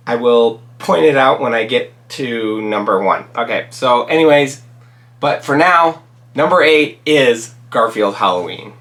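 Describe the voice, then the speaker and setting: A man hosting his own channel, talking casually through his favorite movies, raspy from a cold and rambling with many ums and restarts. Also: mid-range voice, a bit bright. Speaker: channel host reviewing movies. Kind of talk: casual solo commentary.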